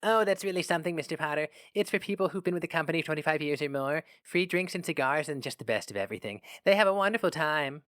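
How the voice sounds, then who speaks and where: A man's voice: fairly high pitch, animated, fast, hardly any pauses, fairly bright tone, no echo, studio quality, pitched above the performer's own voice. A man voicing a helpful, chatty female office secretary taking a call in a story reading. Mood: chatty, efficient.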